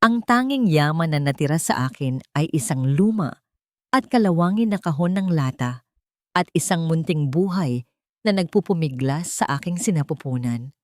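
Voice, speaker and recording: Low-pitched Filipino woman narrating a story. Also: animated, moderate pace, dry recording, studio quality. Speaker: audiobook narrator. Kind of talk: scripted dramatic first-person story narration.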